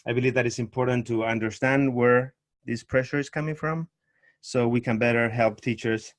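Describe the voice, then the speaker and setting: A middle-aged man from Argentina, a tango teacher speaking over a video call in a measured, thoughtful way with frequent pauses. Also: medium pitch, steady tone. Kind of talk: online summit interview, presenting.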